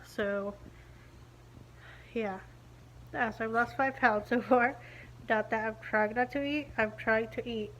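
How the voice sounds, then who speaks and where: A young woman with a slightly high voice chats into her phone at home, sounding blocked-up and a little muffled, as if she cannot move her lips freely. She rambles on in an easy video-diary way, full of "um" and "like". A faint hiss sits under the voice. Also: a bit bright. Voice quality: stiff-lipped, slightly muffled speech. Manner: chatty, rambling, many fillers. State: congested, stuffy-nosed after nose surgery. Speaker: beauty vlogger. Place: living room at home. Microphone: phone camera at arm's length.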